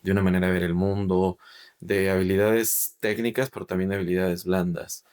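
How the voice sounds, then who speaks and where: Male podcast host, very low voice, reflective, builds long winding questions. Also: flat delivery, brisk, darkish tone, smooth. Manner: thoughtful, long rambling questions. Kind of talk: interviewing a guest.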